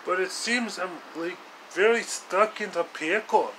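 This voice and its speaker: An eager young storybook hero, put on by a man, speaks in a raised, bright voice. He is earnest and exclaiming, and takes his time over the words. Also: high-pitched, measured pace, a little hiss or hum, pitched above the performer's own voice, rough recording. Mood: determined. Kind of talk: storybook character voice.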